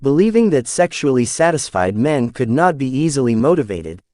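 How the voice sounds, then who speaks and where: A man reads a scripted practice passage aloud. His mid-pitched voice moves with lively, varied intonation. The sound is dry, free of any room echo. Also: normal speed. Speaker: exam practice reader.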